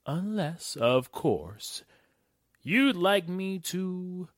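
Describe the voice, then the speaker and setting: A man acting a wealthy, imperious and fussy gentleman in a radio drama, with a pompous, haughty delivery. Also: high-pitched, sing-song, very slow, no echo, studio quality, exaggerated voice. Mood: imperious, demanding. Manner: pompous, haughty, wheedling menace. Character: wealthy, imperious, fussy club owner demanding special treatment.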